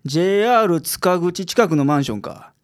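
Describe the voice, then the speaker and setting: A man with a Kansai accent playing a gruff, pragmatic private detective in a radio drama. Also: high-pitched, lively intonation, moderate pace. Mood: blunt, gruff. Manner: blunt, worldly-wise. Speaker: voice actor in a community radio drama.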